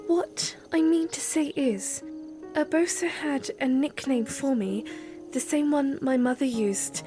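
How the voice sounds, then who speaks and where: Audio drama princess female actor: young, high and lilting, unhurried, playful yet earnest, formal in phrasing. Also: music in the background. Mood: flustered, shy. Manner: expressive, formal wording, teasing, hesitant when flustered. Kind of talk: audio drama acting of fan fiction.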